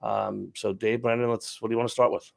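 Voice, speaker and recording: A man's voice: lowish voice, little pitch movement, brisk, gravelly. Man hosting with stumbling restarts. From Long Island, New York. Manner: repeats words, restarts sentences. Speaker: show host, IT provider. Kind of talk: casual panel discussion.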